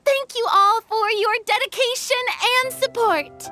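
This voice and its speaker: A woman playing a theatrical, high-spirited young film director in a video game, dramatic and commanding. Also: a little slow, music in the background. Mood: triumphant. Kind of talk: animated video game voice acting.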